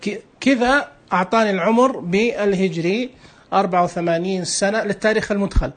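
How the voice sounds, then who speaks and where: High-pitched quick-talking man explaining. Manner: plain, instructive, explains patiently with small restarts. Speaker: app demonstrator. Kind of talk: casual step-by-step tutorial.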